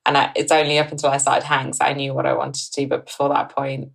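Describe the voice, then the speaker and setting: A woman's voice: very deep voice, level tone, fast, vocal fry. A woman in her thirties from northern England, a company co-founder, talking in a chatty way on a podcast. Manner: chatty, with frequent ums and restarts. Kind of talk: podcast interview.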